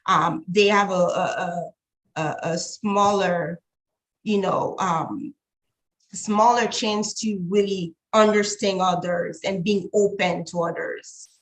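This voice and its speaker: A woman's voice: medium pitch, steady tone, slow, poor audio. A woman from Haiti, speaking thoughtfully in a casual talk show chat and often repeating words as she searches for them. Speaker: co-host.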